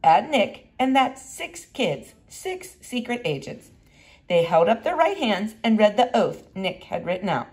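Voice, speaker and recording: Female reader for children's fiction: expressive, lively intonation, clear narrative emphasis. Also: mid-range voice, measured pace. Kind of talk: read-aloud children's storybook narration.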